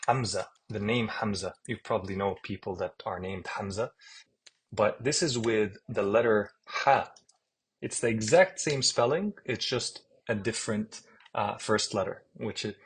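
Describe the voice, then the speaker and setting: A man with a Palestinian accent walks through a language lesson at an unhurried pace, saying every letter twice or three times. His voice is a little husky and sits on the low side. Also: fairly bright tone. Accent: Palestinian Arabic. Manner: slow and patient, repeating each letter name. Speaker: Arabic teacher. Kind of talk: screen-share alphabet lesson.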